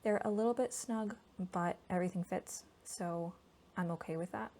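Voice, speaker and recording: A woman doing a casual, soft-spoken ASMR voiceover, hushed, gentle and informal, breathy at times. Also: fairly low pitch, moderate pace. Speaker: host of a board game ASMR channel. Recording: steady background noise from a laptop. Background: laptop fan noise.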